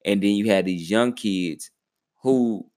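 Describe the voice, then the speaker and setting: A young man co-hosting a podcast, talking in a laid-back, measured way and often trailing off quietly. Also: fairly low pitch. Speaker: podcast co-host. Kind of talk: casual chat.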